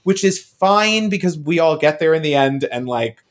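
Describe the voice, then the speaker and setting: Animated, higher-pitched man joking on a podcast. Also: moderate pace. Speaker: podcast co-host. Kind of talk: casual, joking chat.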